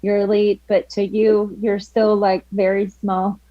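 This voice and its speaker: Relaxed woman calling in, dull line. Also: medium pitch, measured pace, dry recording. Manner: relaxed, hesitant, many fillers and likes. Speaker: guest, ultra runner. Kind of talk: casual podcast chat. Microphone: calling in remotely.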